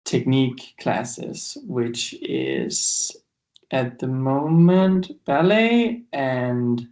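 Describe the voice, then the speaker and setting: A man's voice: mid-range voice, extremely slow. A young man from Denmark, a dance student, talking casually and hesitantly on a video call. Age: young adult. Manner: relaxed, hesitant with fillers. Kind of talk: casual chat.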